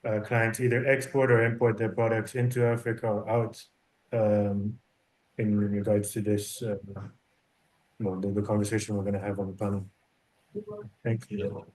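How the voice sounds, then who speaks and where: A man's voice: on the low side, flat delivery, moderate pace, long pauses, a little mellow, poor audio. A man introducing himself as a company executive on a virtual business panel. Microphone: video call.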